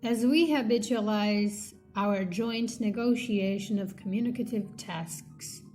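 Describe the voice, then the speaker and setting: Slow, higher-voiced woman reading aloud. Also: a little mellow. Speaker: reader of a linguistics textbook. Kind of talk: read-aloud textbook narration.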